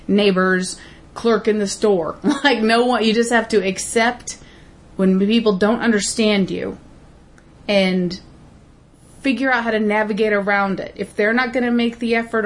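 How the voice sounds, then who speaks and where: A woman with a slightly raspy voice talks easily on a home podcast. She chats about everyday things and drifts into reflective advice. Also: medium pitch. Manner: chatty, reflective, laughs easily. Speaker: podcast co-host. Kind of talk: casual chat and advice segment.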